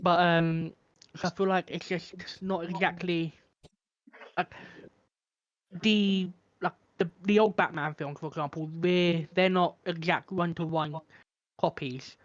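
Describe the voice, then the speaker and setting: A man's voice: high voice, fairly even intonation, unhurried, lo-fi sound. A man co-hosting a podcast in casual chat, tentatively searching for his words. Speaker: podcast co-host.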